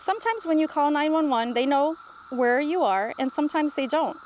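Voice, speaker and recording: A woman's voice: high voice, lively intonation, moderate pace, dull audio, slight background noise. A woman voicing a patient, reassuring grandmother who explains things simply in a children's storybook read-aloud. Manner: reassuring, instructive.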